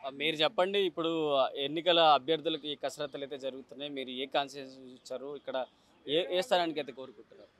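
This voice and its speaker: A man's voice: a little high, fairly even intonation, quick pace, fairly bright tone. A young man in his twenties working as a news reporter, putting field interview questions outdoors on a handheld mic. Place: outdoors on a city roadside. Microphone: handheld microphone.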